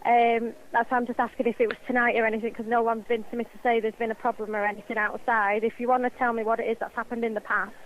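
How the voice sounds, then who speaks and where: A woman with an English accent and a slightly high voice talks as a shop worker on a customer call. She explains insistently and goes back over the same points. The line is muffled and lo-fi. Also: little pitch movement, fast talker, hardly any pauses, slight background noise. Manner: explanatory, insistent, repeats herself. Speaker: supermarket staff taking a complaint call. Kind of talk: customer service phone conversation.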